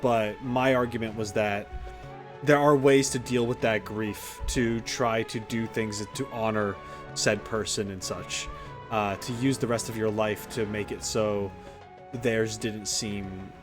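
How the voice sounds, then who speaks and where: A man commentating on a game playthrough as a streamer, casual and blunt, rambling and halting as he thinks aloud. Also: on the low side, fairly even intonation. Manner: rambling, halting when reflecting, blunt and profane. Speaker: gaming streamer. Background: game music.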